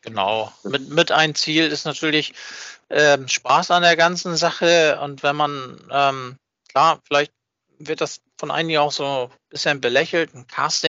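Male podcast co-host: sharp bright tone, halting casual delivery, dry and slightly lo-fi. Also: on the high side, no room sound. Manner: halting, repeats words, seeks agreement with tags. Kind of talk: casual chat.

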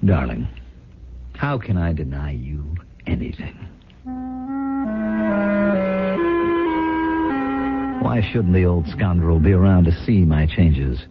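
Ambitious smooth boss type, about 40, low husky voice, old radio drama, tinny narrow sound. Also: male voice, lively intonation, unhurried, background noise. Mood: smug, reflective. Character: ambitious, suave husband turned factory boss, around forty. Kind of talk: 1970s radio drama acting. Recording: muffled, phone-like old radio recording.

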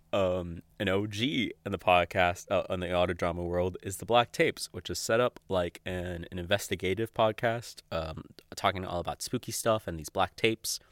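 A young adult man hosting a podcast solo, chatting casually and off the cuff in a giggly, rambling, sweary way with halting false starts. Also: lowish voice, a bit bright, no echo. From Mexico or of Mexican family. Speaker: podcast host. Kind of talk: casual unscripted podcast monologue.

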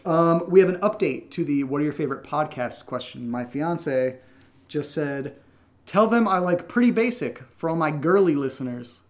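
A young man with a slightly high-pitched voice co-hosts a casual podcast. He chats off the cuff while answering questions, joking and keeping things informal. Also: lively intonation, moderate pace. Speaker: podcast co-host and creator. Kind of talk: casual unscripted Q&A chat.

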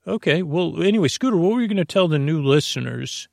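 Man playing cutesy creature sidekick, podcast skit, patient, encouraging, gravelly, dry sound. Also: on the high side, expressive, fast talker, darkish tone, dry recording, voice pushed up. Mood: patient, prompting.

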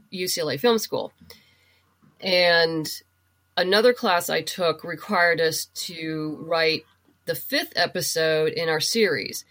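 A woman's voice: low-pitched, a bit bright. A middle-aged woman chatting and explaining things casually as a guest in an interview. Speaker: podcast guest, writer and producer.

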